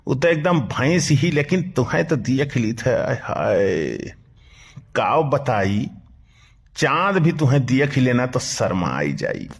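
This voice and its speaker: A man's voice: medium pitch, very animated, slow, slightly rough, exaggerated voice. A man voicing a flirtatious, hapless village husband with an Awadhi accent, sweet-talking and grumbling in a comedy cartoon. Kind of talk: comic cartoon dialogue.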